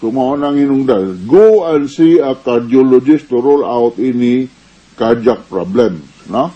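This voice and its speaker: A man's voice: mid-range voice, lively intonation, unhurried, mellow, breathy edge, lo-fi sound. A man with a Cebuano Filipino accent, speaking as a doctor giving informal health advice in a conversational manner, mixing in English medical terms. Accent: Filipino, Cebuano Bisaya. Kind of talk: informal medical advice talk answering listener questions.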